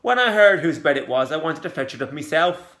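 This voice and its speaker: A man's voice: on the high side, very animated, fairly quick, sharp tone. A man voicing a kind female hospital worker whose voice rises at the end of every sentence. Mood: encouraging. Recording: roomy echo.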